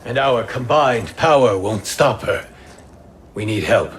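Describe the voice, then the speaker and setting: Game ally voiced by a man: grave, cautious, slow. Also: medium pitch, slight background noise, close to an everyday voice. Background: game score music.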